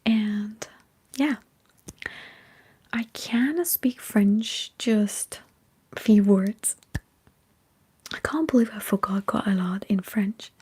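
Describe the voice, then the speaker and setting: A woman whispering breathily in a halting ASMR livestream chat with her viewers. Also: medium pitch, darkish tone, husky. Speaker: ASMR streamer chatting with live viewers.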